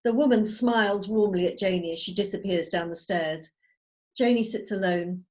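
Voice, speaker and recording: A woman's voice: medium pitch, moderate pace, a little mellow. A woman giving scripted narration, reading out stage directions on a video call.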